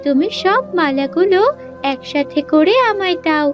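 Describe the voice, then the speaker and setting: A woman's voice: high-pitched, unhurried, over music. A woman voicing a sweet, earnest young village girl in a cartoon, eager and polite in a childlike way. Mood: reassuring. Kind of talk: Bengali animated fairy-tale voice acting.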